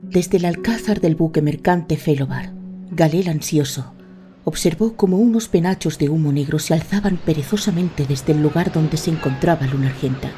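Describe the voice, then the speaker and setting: A woman narrator tells a fantasy story as scripted radio drama. Her voice is fairly low and dark, with a mellow tone over a bed of music. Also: normal speed.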